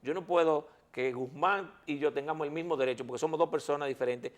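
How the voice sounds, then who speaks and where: A man's voice: fairly high pitch, fast talker. Insistent man arguing a point. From Dominican Republic. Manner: insistent, repeats words to hold the floor. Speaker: lawyer guest. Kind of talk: heated talk-show debate.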